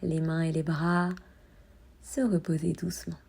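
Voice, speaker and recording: Woman leading a guided meditation, soft n breathy, very slow, bit of creak in the voice. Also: low-pitched. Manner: calm, soothing, unhurried instructions with pauses. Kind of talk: guided meditation, body scan.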